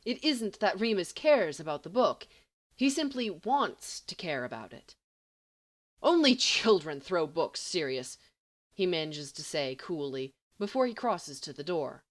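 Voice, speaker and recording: A woman with a slightly low voice narrates scripted fiction. Her delivery is very lively, with wide swings in pitch as she reads. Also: normal speed. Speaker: volunteer audiobook reader. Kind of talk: expressive scripted story narration.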